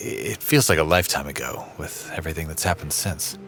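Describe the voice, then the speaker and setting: A man playing a shy, gentle, scholarly character in an audio drama, speaking hesitantly with stammers. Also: very deep voice, fairly quick, faint music behind. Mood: awkward fond. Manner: hesitant, stammering, nervous small laughs. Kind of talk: naturalistic audio drama acting.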